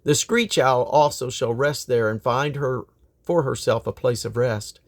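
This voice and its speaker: A man's voice: medium pitch, a little slow. A man reading scripture aloud in a solemn, declamatory manner. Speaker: Bible reader.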